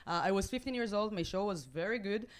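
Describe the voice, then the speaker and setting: A woman in her late twenties from Israel, with an Israeli accent and Hebrew as her first language, performing stand-up with deadpan, self-mocking delivery and halting restarts. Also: mid-range voice. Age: late 20s. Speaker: stand-up comedian. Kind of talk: stand-up routine. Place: comedy club stage with a live audience.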